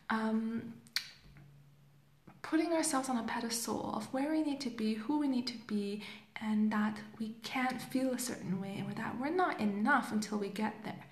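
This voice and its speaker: A woman's voice: on the high side, reverberant. A young woman life coach, from Hong Kong and raised in Canada, talking loosely and reflectively into a phone camera with many fillers. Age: young adult. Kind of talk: casual live video talk. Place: small plain room indoors. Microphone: phone camera at arm's length.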